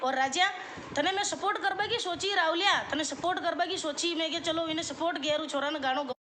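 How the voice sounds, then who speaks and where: A woman's voice: extremely high pitch, a little fast, pushed and bright. A woman with a Rajasthani accent in a noisy clip, ranting in a defiant, scolding way. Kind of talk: confrontational live-video rant. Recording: noisy live clip with background ambience.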